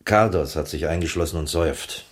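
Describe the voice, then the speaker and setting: An actor playing an inquisitive circus boss in a radio crime drama, colloquial, probing and a little hesitant. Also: male voice, very deep voice, brisk. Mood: casual, businesslike.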